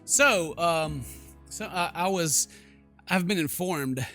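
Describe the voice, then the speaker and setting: A man doing excitable, jokey let's play game commentary, exclaiming and mimicking the characters. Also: a little high, slow, soft background music. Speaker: gaming YouTuber, let's play host.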